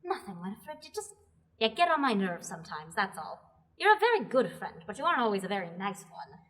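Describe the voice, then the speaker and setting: A woman's voice: medium pitch, sing-song, a little fast, fairly bright tone. A young woman in a student radio drama, playing an earnest heroine who has been given a quest. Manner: earnest, exasperated at times.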